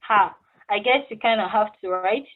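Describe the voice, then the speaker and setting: A woman's voice: medium pitch, moderate pace, lightly acted. A woman playing a friendly, curious, somewhat awkward drive-through cashier in a casual play reading, speaking hesitantly and informally. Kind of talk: casual table read of a stage play. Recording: muffled, phone-like band-limited call audio.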